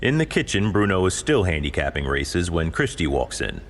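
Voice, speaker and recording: A man's voice: low-pitched, big pitch swings, moderate pace, noisy background. A man reading scripted narration for an audio drama.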